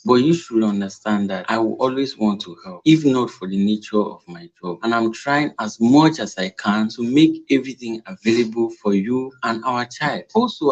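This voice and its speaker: A man's voice: mid-range voice, a little mellow, muffled recording, close to an everyday voice. A man with a Nigerian accent playing an exasperated, demanding husband and breadwinner in an audio drama. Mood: soothing, persuasive. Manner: scolding, questioning, ends questions with "eh".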